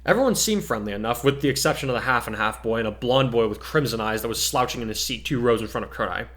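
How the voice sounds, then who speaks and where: Man narrating a story, mid-pitched. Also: lively intonation, quick pace, fairly bright tone. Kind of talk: dramatic story reading, scripted narration.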